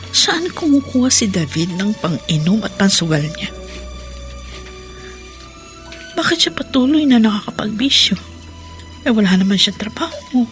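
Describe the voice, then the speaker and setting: A woman's voice: fairly high pitch, fairly bright tone, full character voice. A woman playing a devout, loving, struggling mother in a radio drama, speaking with plaintive emotion. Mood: worried, pleading. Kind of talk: Tagalog radio drama acting.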